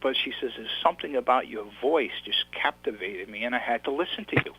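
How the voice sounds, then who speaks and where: A man's voice: medium pitch, expressive, brisk, sharp tone, husky, poor audio. A man with a New York accent, an author telling his story in a casual interview, rambling and restarting his sentences. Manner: rambling, repeats words and restarts.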